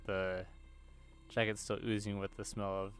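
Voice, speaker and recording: A man's voice: on the low side, little pitch movement, normal speed. A man hosting gaming videos, reading a visual novel's script aloud as he plays.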